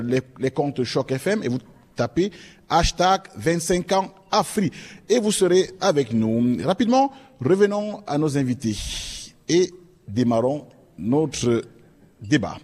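Male forum host, mid-pitched and announcer-like, in a live hall with a long echo. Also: darkish tone. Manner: announcer-like, with emphatic rising openers. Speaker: forum moderator. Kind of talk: moderating a live panel forum. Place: event hall with an audience. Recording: reverberant hall, faint noise.

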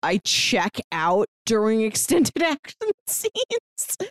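A woman's voice: on the high side, huge pitch swings, slow pace, no echo. Casual female podcast co-host, dry close sound. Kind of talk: casual book discussion.

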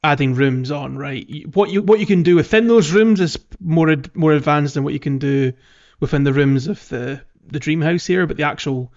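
A man with a fairly high voice chats casually as a podcast co-host. He talks informally and tends to think aloud. The audio is a little dull. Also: normal speed, few pauses, a little mellow. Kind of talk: casual gaming podcast chat.

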